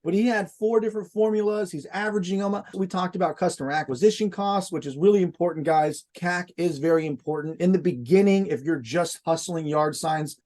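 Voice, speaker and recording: A man's voice: a little high, a little mellow. A man hosting an interview, talking informally and emphatically to his viewers, often calling them guys. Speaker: host, junk removal business owner. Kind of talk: casual interview chat.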